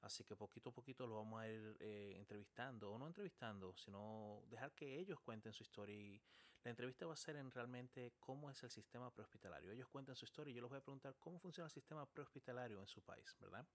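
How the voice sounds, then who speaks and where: A man's voice: medium pitch, fast. A man, a paramedic hosting his own podcast in a casual monologue with frequent "eh" fillers. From Chile, raised in Dominican Republic.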